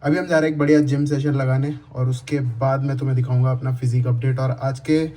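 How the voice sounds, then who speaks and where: A man's voice: mid-range voice, little pitch movement, fast, dark tone. A young man vlogging casually to camera about his fitness routine, talking with a sore throat and a slight cold, switching between Hindi and English phrases. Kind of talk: casual vlog talk to camera. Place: home, then gym.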